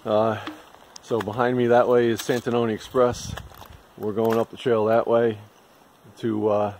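A man's voice: on the low side, level tone, unhurried, noisy background. Older man narrating a snowy hike. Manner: terse, plain-spoken updates between breaths. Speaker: hiker narrating his climb. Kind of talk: casual trail narration. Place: snowy forest trail outdoors in winter. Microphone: handheld camera at arm's length. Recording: loud crunching and rustling behind.

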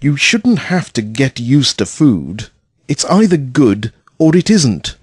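A man's voice: mid-range voice, sing-song, slow, breathy edge, full character voice. A man playing a blunt, dismissive, hard-nosed investor in an audio drama, curt and sardonic in manner. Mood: scornful.

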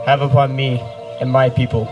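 A man's voice: medium pitch, flat delivery, normal speed, dull audio, lightly acted. A young man playing a devout king in an amateur scripture pageant, reciting formal prayers into a handheld microphone outdoors. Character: devout king praying in fervent repentance. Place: outdoor wooded stage. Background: music playing.

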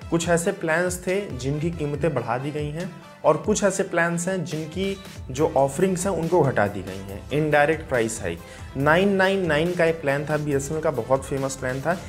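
A young man in his twenties hosting a tech news channel, presenting upbeat and direct to camera in a home studio, speaking on a lapel mic. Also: a little high. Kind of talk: scripted tech news explainer. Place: indoor home studio with lit gaming setup.

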